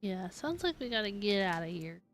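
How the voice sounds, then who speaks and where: A woman's voice: medium pitch, sing-song, normal speed, a little hiss or hum. A woman game streamer giving casual, chatty live commentary as she plays. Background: faint game music.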